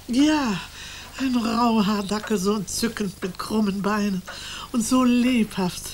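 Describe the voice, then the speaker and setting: A woman's voice: medium pitch, unhurried, a little mellow, a little hiss or hum, lightly acted. An actress playing a gushing aristocratic countess who laments her dogs with theatrical sighs, in a radio drama. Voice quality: theatrical, exclamatory, sighing.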